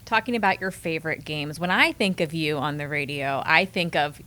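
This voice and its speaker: A woman's voice: low-pitched, fairly quick, sharp tone. A woman hosting a podcast, asking her guest questions in a casual, conversational way. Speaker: podcast host. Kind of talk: casual interview.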